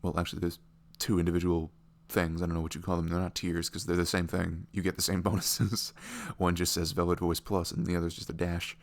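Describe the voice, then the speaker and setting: A man's voice: low-pitched, flat delivery, brisk, hardly any pauses. A man chatting casually through a rambling promo, amused and self-deprecating about himself. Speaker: audio creator and host.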